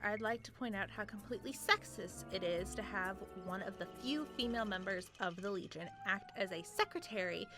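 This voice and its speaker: A woman's voice: mid-range voice, expressive, brisk, hardly any pauses, over music, lightly acted. A woman playing a sardonic, impatient female supervillain in a comic radio play, dry and snippy. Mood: annoyed. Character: irritable, sardonic female supervillain stuck taking roll call.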